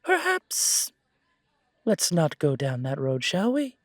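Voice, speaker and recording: A man's voice: a little high, huge pitch swings, slow pace, no room sound, studio quality, pitched above the performer's own voice, heavily acted. A man voicing a cheerful, fussy pointy-eared male shopkeeper in an audio roleplay, prim and polite with a fluttery stammer. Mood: nervous, apologetic.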